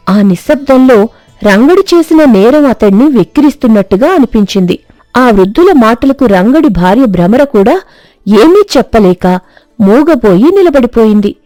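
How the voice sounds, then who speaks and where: A woman's voice: on the high side, warm tone, no room sound. A woman reading a scripted moral story as its narrator. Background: music.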